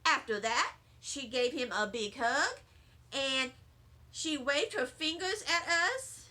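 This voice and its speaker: A woman reading a children's story aloud as a teacher would, in an expressive, childlike narration. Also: high voice, slow.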